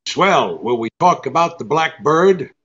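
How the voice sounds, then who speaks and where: An actor playing a tough, wisecracking, impatient private detective in a radio drama, brusque and sardonic. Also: male voice, on the high side, expressive, measured pace, fairly bright tone, husky, muffled recording, full character voice. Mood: cool. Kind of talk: hardboiled radio drama acting with narration.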